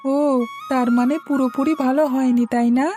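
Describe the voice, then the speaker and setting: Lady doing a chatty little village boy, really high voice, pouty and pleading, cartoon story with music behind. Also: flat delivery, normal speed, close to an everyday voice. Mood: eager, pouty. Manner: childlike, pleading and argumentative. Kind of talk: Bengali cartoon story acting.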